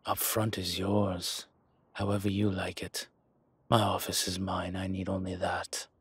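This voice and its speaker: A very husky, low-pitched dragon crime lord played by a man in an intimate roleplay audio. He speaks slowly and deliberately, commanding yet teasing and protective, with breath in the words. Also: completely flat delivery, no room sound, heavily acted. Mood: playful, relaxed.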